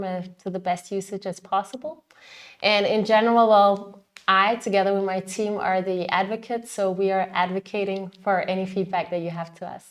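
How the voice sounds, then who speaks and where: A woman presenting informally on a webinar as a team lead, in an upbeat, conversational manner. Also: mid-range voice.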